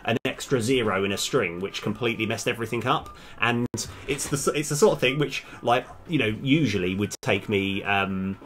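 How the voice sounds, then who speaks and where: A man's voice: fairly low pitch, normal speed, hardly any pauses, fairly bright tone, a little hiss or hum. A man chatting casually on a live coding stream, hesitant as he thinks aloud and trails off. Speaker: live-streaming game developer. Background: music, keyboard typing.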